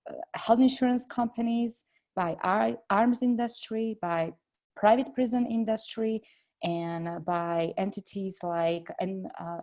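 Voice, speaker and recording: Slovak accent woman, low-ish mellow voice, earnest, lots of uh's. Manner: earnest, steady, frequent "uh" fillers. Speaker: congressional candidate. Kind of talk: interview answers.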